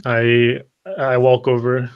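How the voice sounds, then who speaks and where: A man's voice: mid-range voice, monotone, takes it slowly, smooth, muffled recording. A man playing a tabletop roleplay game, narrating his actions in first person and often repeating words hesitantly.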